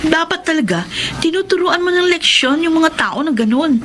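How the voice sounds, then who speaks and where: High-voiced, gossipy Filipino coworker. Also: female voice, lively intonation, moderate pace, a bit bright, a little hiss or hum. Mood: urging. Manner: conspiratorial, urging. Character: gossipy female coworker egging on her friend. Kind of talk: Filipino radio drama acting.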